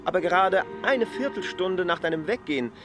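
An actor playing a restless, evasive land surveyor with an insistent, brusque manner in a radio play. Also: male voice, sits high, animated, brisk, music in the background. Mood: insistent, curt. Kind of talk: literary radio play acting.

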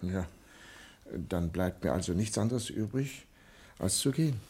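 A man acting an anxious, hesitant head of a struggling firm in a 1960 German radio drama, with a husky voice and questioning manner. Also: low-pitched, animated, measured pace, a little mellow, heavily acted. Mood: resigned.

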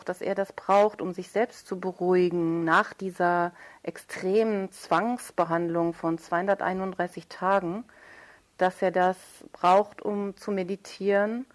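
A woman speaking as an interviewed writer, thoughtful and articulate, searching for precise wording as she reflects on literature. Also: mid-range voice. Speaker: writer interviewed about own radio play.

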